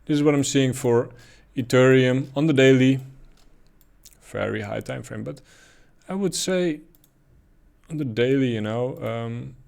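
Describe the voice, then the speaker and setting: A man's voice: mid-range voice, unhurried, vocal fry, pauses often, a little mellow. A man with a Dutch accent giving casual, thinking-aloud commentary on price charts, with frequent ums and uhs. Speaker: crypto chart analyst.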